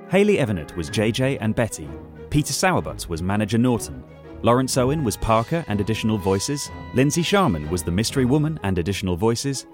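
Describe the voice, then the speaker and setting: A man's voice: lowish voice, darkish tone. A man reading scripted closing credits as an announcer.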